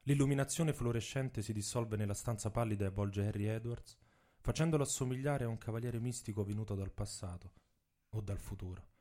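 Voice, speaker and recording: Man, lowish pitch, dark relaxed timbre, dramatic literary narration, author reading aloud. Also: fairly quick.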